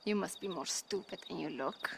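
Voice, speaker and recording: A woman's voice: lowish voice, normal speed, vocal fry, noisy background. An actress playing a tough, unimpressed frontier woman in a game cutscene, mocking and scornful. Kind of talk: video game cutscene acting.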